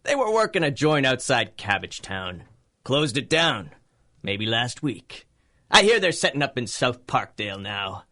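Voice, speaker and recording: A swaggering 1930s radio gangster boss, short fuse, slangy, forceful and all over the place. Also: male voice, mid-range voice, sing-song, measured pace. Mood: grudging. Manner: slangy tough-guy talk. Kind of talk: 1930s pulp radio drama acting.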